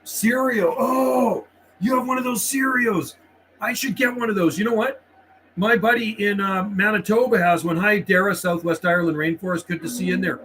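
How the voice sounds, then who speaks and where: A middle-aged man with a Canadian accent and a notably high-pitched voice talks as a CB radio operator. He is friendly and laid-back, slipping between loose chat and channel calls. Noticeable radio noise sits behind his voice. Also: steady tone, normal speed, a bit bright, poor audio. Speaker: livestream host and CB radio operator. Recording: clear background noise, radio ambience. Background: CB radio noise and ambience.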